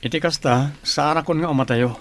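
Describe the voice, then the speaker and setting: A man's voice: fairly high pitch, brisk, close to an everyday voice. A man with an Ilocano accent playing a patient, humble schoolteacher in an audio drama. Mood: earnest. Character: earnest, patient male schoolteacher, humble and hardworking. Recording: clearly noisy background.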